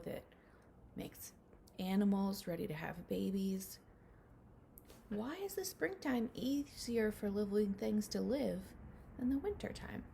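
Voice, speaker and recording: Female early-years teacher, read-aloud for children. Unhurried, soft-edged tone with fry, slightly noisy recording. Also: medium pitch. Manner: addresses children directly with guiding questions.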